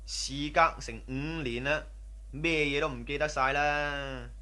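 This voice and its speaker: An actor playing a humble, easily impressed casino underling in a radio drama, chummy and deferential. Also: male voice, fairly high pitch, steady tone, unhurried, pushed and bright, smooth. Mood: doubtful, reassuring. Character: humble, eager casino underling, admiring younger male cousin. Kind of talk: Cantonese radio drama acting.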